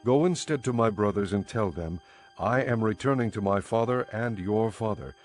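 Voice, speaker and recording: A calm, gentle risen teacher speaks in a low, measured voice, as a man puts him on. Each phrase is solemn and unhurried. Soft music sits faintly behind. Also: lightly acted. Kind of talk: dramatized scripture reading.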